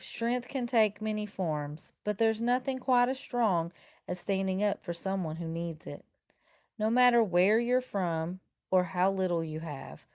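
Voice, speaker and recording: Female teacher for picture-book narration; mid-range voice, deliberate emphasis, dry close recording. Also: mid-range voice, darkish tone, no echo. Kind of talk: expressive children's storybook read-aloud in first person.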